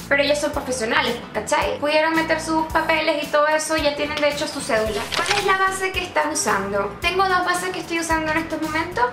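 A young Venezuelan woman talks to camera in a high, bright voice, joking at her own expense and slipping Chilean slang into her answers. The sound is roomy and echoey. Music plays clearly behind her. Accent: Venezuelan, putting on Chilean slang. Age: 20s. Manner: playful, self-mocking, laughing often, animated hand gestures. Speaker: YouTuber. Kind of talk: casual vlog answering questions.

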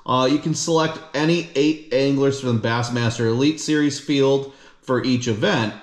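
A man in his thirties hosting a fishing channel, reading an upbeat scripted announcement to camera from his living room. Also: medium pitch. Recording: echoey room.

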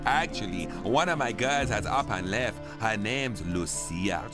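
An actor playing a smug, possessive brothel keeper in a video game, emphatic and proprietorial. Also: male voice, lowish voice, animated, measured pace, music in the background. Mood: grudging.

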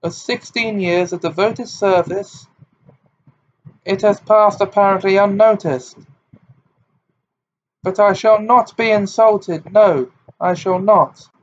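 Casting: man doing a hysterical middle-aged female seer, high and wavering, melodramatic and self-pitying; dull recording. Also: unhurried. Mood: tearful, indignant. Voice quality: high-pitched, hysterical, quavering. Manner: theatrical, wounded self-pity. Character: overwrought eccentric middle-aged female divination teacher. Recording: dull lo-fi with faint hiss.

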